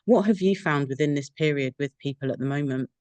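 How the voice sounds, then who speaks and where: A woman's voice: sits low, a little fast, a little mellow, dry recording. A woman hosting an interview podcast, who asks long, rambling questions with fillers. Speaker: podcast host.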